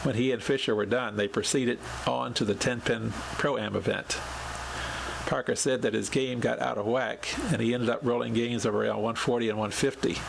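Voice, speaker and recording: Male podcast host, heavily creaky voice, measured scripted storytelling, audible noise behind. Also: medium pitch, steady tone. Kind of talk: scripted narration, reading a written account.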